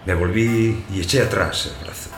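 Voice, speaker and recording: An actor playing a lonely abandoned householder, narrating his story in a measured, plain way for an audio drama. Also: low-pitched, faint music behind. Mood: absorbed, quietly elated. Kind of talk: first-person literary narration in audio fiction. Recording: roomy echo, clear background noise.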